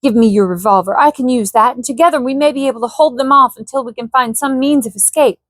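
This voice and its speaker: A woman's voice: fairly high pitch, fast talker, no room sound, close to an everyday voice. A woman playing a devoted, pleading young lady. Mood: determined. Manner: pleading, earnest. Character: young gentle English woman, devoted and brave.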